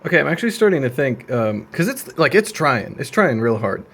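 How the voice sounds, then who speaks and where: A young man talking casually and deadpan as he narrates a hands-on repair, with slangy, wry delivery. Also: mid-range voice, a little fast, husky. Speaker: video creator. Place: indoor room at a desk.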